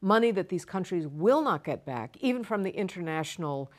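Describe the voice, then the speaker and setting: Woman guest expert, low voice, calm measured explanatory delivery for a broadcast interview. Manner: measured, explanatory, emphatic repetition.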